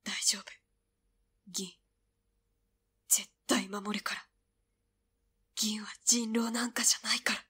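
A woman playing a fragile but stubbornly devoted young girl in an audio drama, breathy and hesitant. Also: mid-range voice, flat delivery, bright, forceful tone, heavily acted. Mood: tender, whispering. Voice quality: breathy, whispery. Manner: hesitant, halting.

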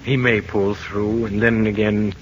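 A man's voice: lowish voice, level tone, measured pace, highs cut off, a little hiss or hum, lightly acted. A man playing a grave, considerate doctor in a vintage radio drama. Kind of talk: 1930s-40s radio acting.

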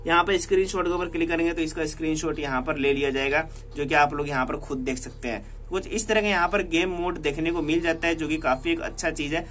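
A man's voice: a little high, fairly bright tone, slight background noise. A man with an Indian accent narrating a casual hands-on tech tutorial, explaining repetitively and mixing in English words. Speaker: tech vlogger demonstrating a phone feature.

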